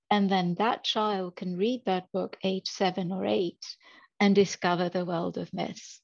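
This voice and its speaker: A woman's voice: fairly low pitch, gravelly, a little mellow, dry recording. Thoughtful woman on a muffled video call. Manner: thoughtful, hesitant with restarts. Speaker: translator on a panel. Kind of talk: panel discussion answer. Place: home study with bookshelves.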